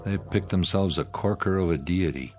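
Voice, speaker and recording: An actor plays a male spaceship commander with a lowish voice, blunt and commanding. He speaks plainly with sardonic scorn, in radio drama style over some music. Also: moderate pace, a little mellow, natural voice. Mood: scornful, stern.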